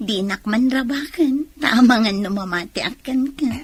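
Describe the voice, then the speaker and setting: A woman's voice: fairly high pitch, slow pace, husky. A woman acting in a radio drama as a coy, guarded young sweetheart.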